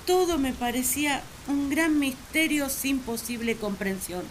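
A woman's voice: high voice, measured pace, a little hiss or hum. An actress playing an earnest, lovesick first woman who confides her feelings in a sentimental radio drama monologue. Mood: sad, hurt.

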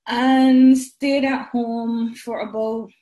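A woman's voice: on the high side, level tone, extremely slow, dark tone. A woman from Barbados answering interview questions in a friendly, talkative way. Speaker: guest, trainee sport psychologist. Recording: roomy echo.

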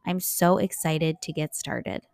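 A woman's voice: low-pitched, measured pace, creaky. A young adult American woman hosting a solo podcast, talking informally in a chatty, enthusiastic and emphatic way. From United States. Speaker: podcast host and life coach.

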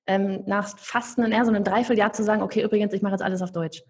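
A young woman talking casually as a podcast guest, lively and chatty with many fillers and restarts. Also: mid-range voice, racing. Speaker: podcast guest, online course creator.

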